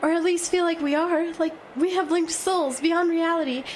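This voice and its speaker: Exhausted, anxious woman, very high-pitched. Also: fairly even intonation, a little hiss or hum. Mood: weary, doubtful. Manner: plaintive, halting. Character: exhausted, anxious, self-doubting woman lost in a blizzard. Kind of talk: audio drama acting.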